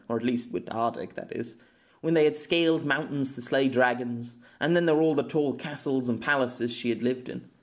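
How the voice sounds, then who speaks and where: A man reading scripted fiction as an amateur audiobook narrator, expressive and dramatic. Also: mid-range voice.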